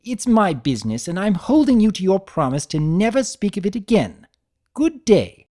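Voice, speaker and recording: A woman's voice: mid-range voice, big pitch swings, normal speed, warm tone, pitched above the performer's own voice. A woman voicing a prim, theatrical female unicorn seamstress in a dramatic story reading, speaking in a refined, emphatic manner. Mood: indignant.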